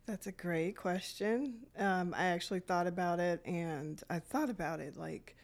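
Gravelly low-voiced woman guest. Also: unhurried. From Detroit. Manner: reflective, many fillers. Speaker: guest, youth programs director. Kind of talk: podcast interview, personal story.

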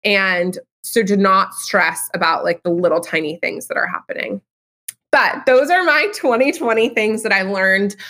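A woman's voice: medium pitch, moderate pace, bright, forceful tone. A woman hosting a podcast, upbeat and bubbly, peppering her talk with "like". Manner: bubbly, upbeat, says "like" a lot. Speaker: podcast host. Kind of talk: casual podcast chat.

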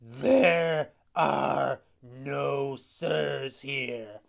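A narrator voicing an imposing bearded puppet showman, stern but capable of pity, speaking slowly and emphatically. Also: mid-range voice, vocal fry, muffled recording. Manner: slow, emphatic, commanding.

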